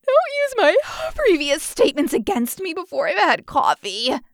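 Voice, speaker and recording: A woman voicing a sleepy, sardonic aunt cat with a gravelly voice in a children's audiobook. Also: sits high, slow pace, no room sound, clean studio sound, voice pushed up. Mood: grumpy, sleepy. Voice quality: gravelly, broken-sounding.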